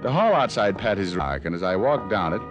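An American actor playing a wisecracking hardboiled private detective in a mid-century radio drama, dry and deadpan. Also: male voice, sits low, brisk. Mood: deadpan narration. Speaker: lead actor and narrator in a detective radio serial. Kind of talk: 1950s American radio acting, first-person narration. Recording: old radio broadcast with music.

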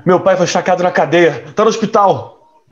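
A man's voice: sits high, moderate pace, lo-fi sound, close to an everyday voice. A young man playing an evasive, troubled rich playboy in an audio drama. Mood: alarmed. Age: young adult. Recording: music under the voice.

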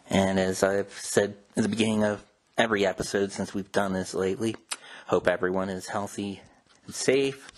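Male host, lowish voice, casual explainer delivery. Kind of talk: casual informative talk on old radio show history.

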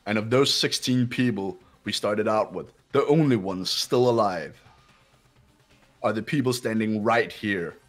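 Man playing a low-voiced haughty rich boy. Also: unhurried, soft background music, natural voice. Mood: cold, condescending. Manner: condescending, clipped, aloof. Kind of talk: amateur visual novel voice dub.

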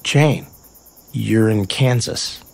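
A boyish, casual ballplayer. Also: male voice, on the low side, drawn-out words, creaky, darkish tone, a little hiss or hum, exaggerated voice. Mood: subdued. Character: playful, sincere, boyish male baseball player. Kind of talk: naturalistic audio drama acting.

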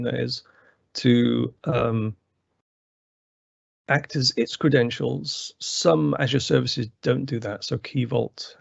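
Gravelly-voiced man hosting a software tutorial, loose and full of fillers, on dry, dull-sounding audio. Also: medium pitch, no echo. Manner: rambling, many fillers and restarts. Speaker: presenter. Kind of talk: technical webinar walkthrough. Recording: dry, slightly muffled webinar audio.

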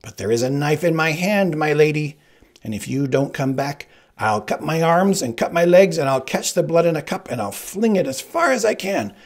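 A boastful male kidnapper threatens in a high, shrill voice with a gravelly edge, as a male reader puts him on. He sounds smug and menacing, and his pitch swings widely from line to line. It is a storybook villain told aloud. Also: lively intonation, normal speed. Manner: shrieking, gloating threats.

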